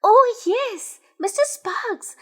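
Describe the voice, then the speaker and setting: A woman voicing an excitable little toy boy who chatters high and fast. He is cheerful and eager, and his lively voice leaps all over in this storybook telling.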